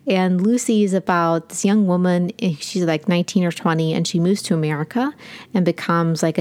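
Chatty woman co-host, slightly low voice. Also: darkish tone. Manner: enthusiastic, chatty, many fillers. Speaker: podcast co-host. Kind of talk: casual book chat.

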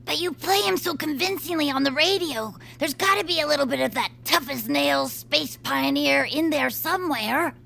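A woman's voice: high voice, moderate pace, creaky, hardly any pauses, sharp tone, full character voice. A woman playing an eager, plucky young fan with big schemes in a comedy audio drama, earnest and persuasive. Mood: reassuring. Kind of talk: audio fiction comedy acting.

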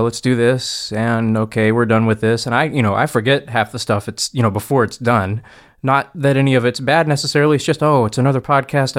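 Guy in audio production just chatting, average pitch, loose informal talk, works it out as he goes. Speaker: podcast audio editor and producer, guest. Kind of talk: casual chat.

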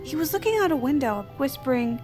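A high-voiced, naive young friend. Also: female voice, very animated, brisk, darkish tone, faint music behind. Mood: earnestly morbid. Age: 20s. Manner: earnest, guileless. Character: naive, morbid young best friend in her twenties. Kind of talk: comedic audio drama acting.

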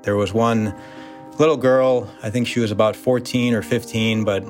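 An American man, a surgeon, recalling his work in a thoughtful, halting way with fillers. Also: lowish voice, fairly even intonation, faint music behind. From United States. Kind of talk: interview recollection.

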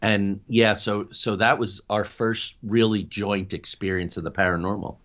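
An American man with a fairly low voice tells stories conversationally as a podcast guest. He is excitable and high-energy, wandering into asides. The audio is slightly dull and band-limited. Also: moderate pace. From New Jersey, United States. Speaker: podcast guest, paranormal investigator. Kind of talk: conversational personal storytelling.

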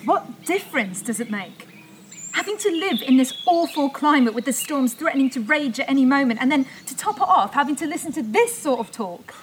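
A woman's voice: high-pitched, animated, a little fast. A woman playing an anxious, bitter sister in an audio drama, speaking in an emphatic, exasperated manner. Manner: emphatic, exasperated, repeating herself. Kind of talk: naturalistic audio drama acting. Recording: clear outdoor bird noise. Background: birdsong, outdoor ambience.

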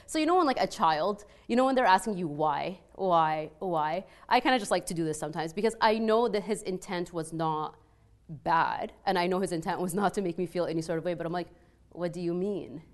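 An Arabic-speaking woman from Egypt with a Canadian-influenced accent, speaking personally and earnestly on a panel, peppering her talk with "like" and checking in with "right?". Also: fairly low pitch. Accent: Canadian-influenced with an Egyptian background. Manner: conversational and earnest, frequent 'like' and tag questions. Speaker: panel speaker, peace scholar. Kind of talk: personal testimony at a panel discussion.

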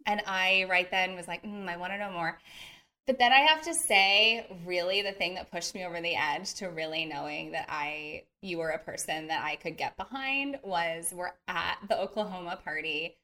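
A woman's voice: on the low side, moderate pace, sharp tone. A woman hosting an interview, telling a story about the guest with enthusiasm. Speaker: interview host. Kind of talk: casual interview chat.

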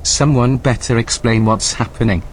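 A stiff and officious male AI overseer, acted by a man, talks in measured, formal phrases with careful wording. His voice sits at a middling pitch in a scripted audio drama, with faint background noise behind it. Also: lightly acted, poor audio. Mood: bewildered.

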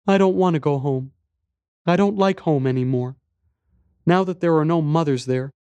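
A man voicing a small, lonely little boy in an audiobook, with soft, light, simple and plaintive speech. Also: on the high side, moderate pace, smooth, no room sound, highs cut off, voice pushed up, lightly acted. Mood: subdued. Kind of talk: audiobook character voice.

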